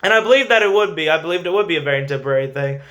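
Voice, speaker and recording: A man's voice: fairly high pitch, lively intonation, racing, a bit bright. A man hosting a finance show, giving informal, emphatic stock commentary and repeating phrases for stress.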